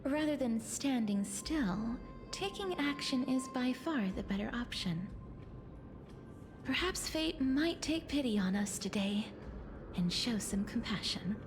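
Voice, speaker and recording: Gentle, high-voiced young heroine. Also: female voice, animated, measured pace, music in the background. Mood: calm, resolute. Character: gentle, resolute young woman companion to a warrior. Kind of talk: dramatic video game voice acting.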